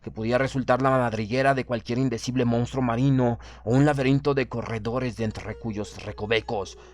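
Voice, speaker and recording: A man narrates a literary tale in the first person. His voice sits in a middle register. The delivery is measured and formal, with a solemn, dramatic storytelling tone. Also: mid-range voice. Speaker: audiobook narrator.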